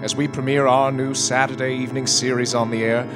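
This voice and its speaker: Male radio announcer over loud music. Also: medium pitch, level tone, a little fast. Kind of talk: formal 1940s radio suspense introduction. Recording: live stage radio play recording. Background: prominent music.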